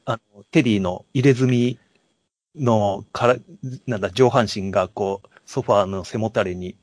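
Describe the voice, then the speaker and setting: A man co-hosting a podcast, talking casually about films in a halting, storytelling way. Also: fairly low pitch, no echo. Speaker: podcast co-host. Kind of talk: casual film discussion.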